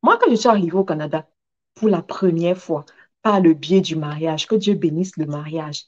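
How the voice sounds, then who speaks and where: A woman's voice: deep voice, normal speed, darkish tone, highs cut off. A woman giving a motivational talk in an emphatic manner, repeating phrases for effect and drawing on personal stories. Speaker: motivational speaker and businesswoman.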